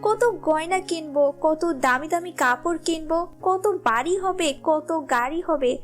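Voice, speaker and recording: Very shrill, greedy cartoon wife. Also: female voice, moderate pace, fairly bright tone, soft background music. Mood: giddy, greedy. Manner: nagging, exclamatory, domineering. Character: greedy, bossy young fisherman's wife, excitable and discontented. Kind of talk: cartoon moral story voice acting.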